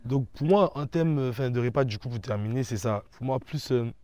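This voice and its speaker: A man's voice: mid-range voice, brisk, mellow, no room sound. A man answering in a conversational interview, explaining his views and often checking that the listener follows. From Ivory Coast. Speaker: interview guest.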